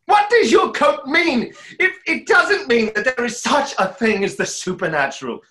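A man's voice: very high voice, expressive, moderate pace, sharp tone, full character voice. A young man playing a tormented, lovestruck conjurer in a stage play reading, with theatrical, emphatic delivery. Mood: fierce, challenging. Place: small room at home, video call.

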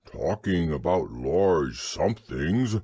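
A man voicing a humble, earnest, slow-witted bear in a storybook, with a plodding manner. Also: low-pitched, big pitch swings, a little mellow, slightly rough, dry recording, exaggerated voice. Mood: dreamy, wistful. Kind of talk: storybook character reading.

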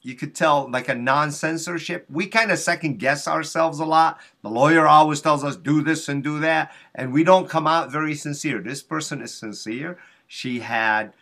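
A man's voice: fairly high pitch, normal speed, sharp tone. A middle-aged man hosting a casual video call interview from a small office, chatty and enthusiastic. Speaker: interview show host. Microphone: webcam on a video call.

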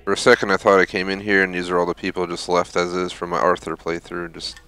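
A man's voice: sits low, level tone, quick pace, sharp tone. A man giving casual, off-the-cuff commentary while playing through a video game. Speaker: gaming video host.

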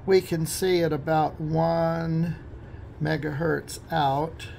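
Guy doing a tech walkthrough, really creaky voice a bit on the high side, matter of fact. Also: level tone, takes it slowly, darkish tone, slight background noise. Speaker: radio technician giving a demonstration. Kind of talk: informal technical explanation over a demonstration.

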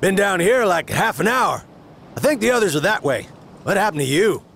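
High-voiced, creaky soldier sidekick. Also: male voice, animated, moderate pace, fairly bright tone, a little hiss or hum, heavily acted. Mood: sheepish. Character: casual, apologetic young male soldier sidekick. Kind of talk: video game cutscene acting.

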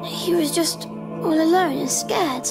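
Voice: very high-pitched, takes it slowly, over music, exaggerated voice. A performer playing an earnest, sensitive small child in a video game. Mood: earnest, sympathetic. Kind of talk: video game cutscene acting.

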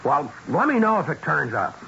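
An actor playing an earnest, insistent, principled police detective in a 1970s radio drama, speaking plainly. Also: male voice, a little high, sing-song, normal speed, gravelly. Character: dogged, principled police detective, a man standing up for victims. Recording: dull, hissy 1970s radio broadcast.

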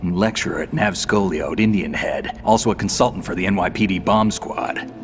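An actor playing a cool, seasoned soldier in a video game, laconic and self-assured. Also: male voice, low voice, a little fast, a little hiss or hum. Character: cool, seasoned male soldier, calm and dry. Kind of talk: video game cutscene acting.

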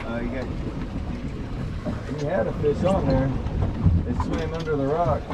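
A man's voice: on the high side, measured pace. A man hosting a casual fishing vlog outdoors on a boat, talking in an easygoing, chatty way and laughing often. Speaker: fishing guide and channel host. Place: outdoors on a boat and dock on open water. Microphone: action camera. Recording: loud wind and boat noise.

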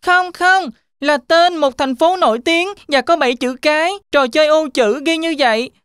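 Little cartoon girl goat, high-pitched, childlike, inquisitive, eager, dry recording. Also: normal speed, a bit bright, no echo. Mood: curious.

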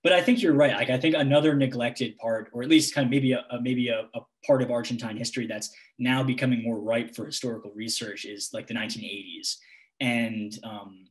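A young man finishes an academic talk and answers questions over a video call, his delivery hesitant and loose, with "like" and "kind of" in many sentences. He speaks from a home room into a laptop microphone at a middling pitch. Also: fairly even intonation, brisk. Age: young adult. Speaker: guest lecturer. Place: home room with guitars on the wall. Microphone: laptop webcam mic on video call.

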